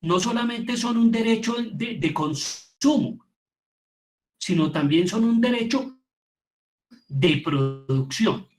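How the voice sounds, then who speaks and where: A man's voice: high voice, animated, slow pace, long pauses. A middle-aged man with a Colombian accent gives an informal, reflective lecture, repeating words for emphasis as he teaches. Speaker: theatre teacher giving a lecture.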